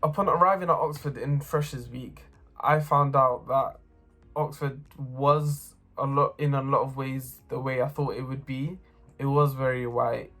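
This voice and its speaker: Earnest young man, flat delivery. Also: mid-range voice, unhurried, faint music behind. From North London. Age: young adult. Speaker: student. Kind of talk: personal testimony to camera.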